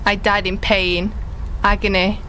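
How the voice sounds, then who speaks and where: A woman's voice: medium pitch, heavy background noise. A woman playing a mocking, accusing apparition of a dead young woman in a sci-fi audio drama.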